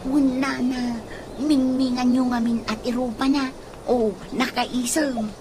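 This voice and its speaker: A woman's voice: high-pitched, level tone, a little slow. A woman playing a gossipy, easily astonished young woman in a comedic radio drama, chatty and exclamatory. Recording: noisy radio drama recording.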